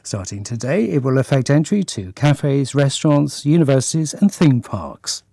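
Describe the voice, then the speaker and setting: A man reading a scripted news bulletin in a measured, formal way. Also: medium pitch, lively intonation, vocal fry, dark tone, no echo, clean studio sound. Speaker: news reader.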